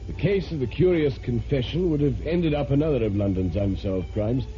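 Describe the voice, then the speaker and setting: An actor playing an urbane, wry crime reporter in a vintage radio drama. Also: male voice, fairly low pitch, warm tone. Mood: measured storytelling. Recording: muffled vintage radio recording.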